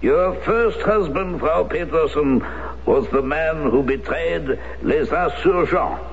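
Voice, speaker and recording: An actor playing an aging former SS colonel, courteous and cold, who speaks in a measured way with hesitant pauses. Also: male voice, a little high. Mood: coolly polite. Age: older. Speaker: actor in a radio drama. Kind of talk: 1970s radio drama acting. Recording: dull old radio recording, faint hiss.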